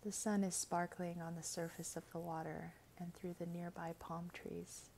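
A woman reading a scripted guided meditation in a gentle, soothing, measured way, with soft, breathy phrase endings. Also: lowish voice, flat delivery, a little hiss or hum, poor audio.

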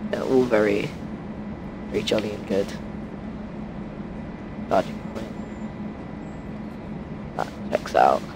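A young man talks through a blocked, stuffy nose, rambling casually as he drives a game train. His delivery is offhand and chatty, with plenty of loose asides. There is clear noise and the rumble of game train audio behind him. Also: expressive, slow pace, pauses often. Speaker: game streamer. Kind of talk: casual gameplay commentary.

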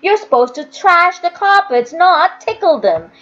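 A woman voicing a brisk, motherly workhouse woman in a storybook, teasing and colloquial. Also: very high voice, very animated, a bit bright, highs cut off, pitched above the performer's own voice, heavily acted.

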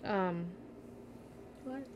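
A woman's voice: mid-range voice, expressive, very slow, a little hiss or hum. A woman giving casual, playful, slangy reactions as she streams a video game. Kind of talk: casual let's-play reaction commentary. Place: indoor room at home, webcam stream. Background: game music.

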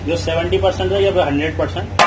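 A man's voice: a little high, fairly even intonation, fast talker. A man working as a cook, giving short practical answers about what he is making. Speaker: cook demonstrating and answering questions. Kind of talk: brief spoken answers while cooking. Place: working kitchen. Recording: loud noise, echoey working kitchen.

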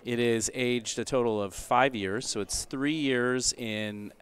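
A man's voice: mid-range voice, slow pace, fairly bright tone, clear, resonant tone. A man hosting a podcast in a casual, chatty and jokey way. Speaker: podcast host.

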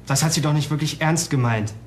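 Male actor as jealous killer, higher male voice, bitter, agitated, crime radio drama, faint noise. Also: fairly quick, close to an everyday voice. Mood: bitter, defiant. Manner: agitated, self-justifying. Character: bitter, possessive jealous young male murderer. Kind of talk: German crime radio play acting.

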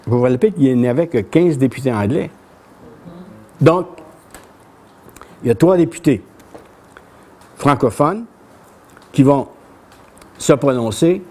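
An older Québécois man gives a relaxed history lecture in a small brick-walled café. His voice sits a little high for a man, yet sounds mellow and dark in tone. He talks plainly, reading passages aloud from a tablet. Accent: Québécois French. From Quebec. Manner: plain conversational telling, reads quoted speeches with lifted pitch. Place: small bookshop café with brick walls, before an audience.

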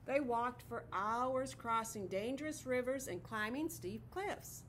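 Middle-aged woman, high voice, expressive storybook read-aloud, teacher to young children, classroom with light noise. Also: measured pace. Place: classroom, indoors.

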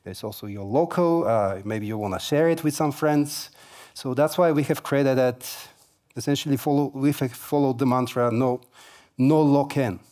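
A man's voice: mid-range voice, moderate pace, a little mellow. A man in his forties giving a tech conference keynote on a large stage, speaking casually with many fillers and light humor through a headset mic.

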